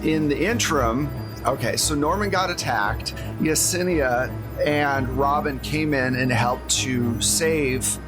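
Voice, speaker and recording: A man with a slightly higher than average voice hosts a tabletop game as game master. He talks casually, telling the story so far as a spoken recap, with music playing clearly behind him. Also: slow.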